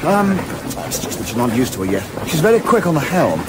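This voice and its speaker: Man playing a high-pitched, brisk British yacht skipper. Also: sing-song, background noise. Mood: breezy, busy. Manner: brisk, informal, old-fashioned chummy speech. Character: brisk, hearty, practical young yacht skipper. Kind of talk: radio play acting.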